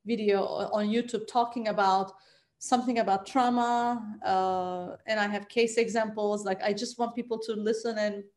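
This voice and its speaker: Gentle Turkish-native woman, earnest guest. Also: medium pitch, steady tone, moderate pace. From Turkey. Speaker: trauma therapist and author, guest. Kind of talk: casual interview conversation.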